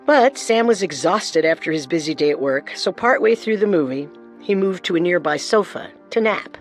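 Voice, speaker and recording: A woman's voice: lowish voice, expressive, normal speed, faint music behind. Woman narrating scripted true crime. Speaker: co-host and narrator.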